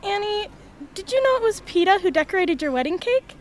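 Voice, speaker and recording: An actress as a friendly, talkative girl with a high voice, eager and kind, in a radio play. There is slight background noise. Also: very animated, fairly quick. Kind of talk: amateur radio drama acting.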